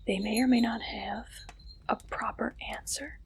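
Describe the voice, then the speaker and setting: A woman whispering in an ASMR roleplay as an interviewer, with a playful, teasing manner and soft questioning. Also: fairly high pitch, steady tone, normal speed, vocal fry, a little hiss or hum, poor audio.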